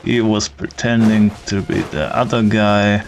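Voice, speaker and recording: A man streaming a game, commenting casually in a slow, halting way. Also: on the low side, level tone, slight background noise. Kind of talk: casual commentary over gameplay.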